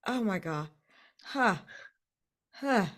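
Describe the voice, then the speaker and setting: A woman's voice: mid-range voice, animated, very slow, a crowd in the background. A woman playing a tabletop roleplaying game, improvising in character with a dry, exasperated manner, trailing off.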